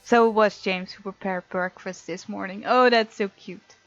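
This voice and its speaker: A woman's voice: mid-range voice, steady tone, moderate pace. A woman hosting a game playthrough, reading visual novel narration aloud and adding her own commentary. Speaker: game let's-play host. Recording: dull sound, music behind. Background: game music.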